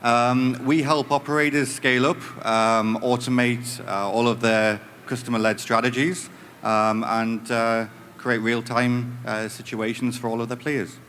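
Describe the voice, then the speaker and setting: A man's voice: medium pitch, level tone, unhurried, a bit bright, slight background noise. A man speaking as a panelist at a conference, explaining with many ums and restarts. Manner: hesitant, many fillers. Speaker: panelist, business director. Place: conference stage.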